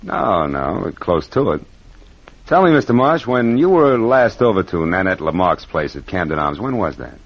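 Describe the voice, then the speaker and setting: A man's voice: low voice, sing-song, moderate pace, breathy edge, heavily acted. A man playing a wry, wisecracking private detective with an American accent, in 1940s radio acting style. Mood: dry, probing. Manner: wisecracking, hard-boiled. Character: wry, tough male private detective narrating his case. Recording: dull vintage radio recording with music.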